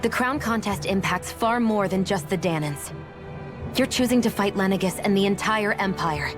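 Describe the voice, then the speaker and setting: A woman's voice: medium pitch, lively intonation, moderate pace, natural voice. Woman playing a frank, thoughtful game heroine. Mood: concerned. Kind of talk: dubbed video game cutscene acting. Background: clear background music.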